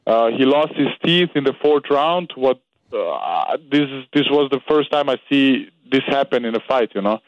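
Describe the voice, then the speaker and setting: A young man with an Austrian German accent, a fighter being interviewed, speaking halting English with many "you know"s. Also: medium pitch, lively intonation, no echo, lo-fi sound. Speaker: guest fighter. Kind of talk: interview over a call.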